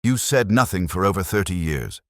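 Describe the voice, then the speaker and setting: A man voicing a shrewd, composed English detective in a story, speaking in a measured, probing way. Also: low-pitched, lively intonation, no room sound, studio quality, pitched above the performer's own voice.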